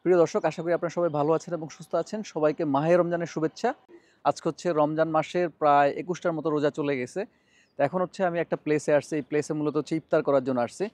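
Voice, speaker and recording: A man's voice: a little high, fairly even intonation, rapid-fire, a little mellow, dry recording. A man with a Bangladeshi accent narrating a casual vlog informally, dropping English words into his talk. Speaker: vlogger.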